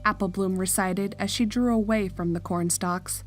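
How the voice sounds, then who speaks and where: A woman's voice: mid-range voice, fairly even intonation, normal speed, faint music behind. A woman narrator reading a scripted horror story in a storytelling style.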